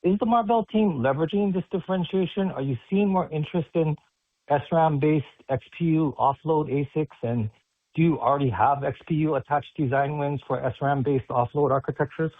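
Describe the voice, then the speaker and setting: A man's voice: on the high side, a little fast, dry recording. A man speaking as a financial analyst, putting a detailed, prepared question on an earnings call and checking in as he builds it up. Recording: dry, narrow phone line.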